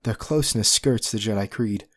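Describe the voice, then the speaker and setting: Low-voiced man narrating scene directions. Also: moderate pace, a bit bright. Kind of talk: scripted narration of screenplay directions. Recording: faint background noise and ambience.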